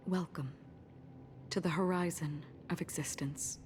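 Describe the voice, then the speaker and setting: Sad solemn wanderer lady in a game, low soft breathy voice, really slow, rain behind. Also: fairly even intonation, slight background noise. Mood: soothing, solemn. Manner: slow, soft, measured, breathy trailing phrases. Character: solemn, melancholy female wanderer guiding souls toward death. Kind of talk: dramatic video game voice acting.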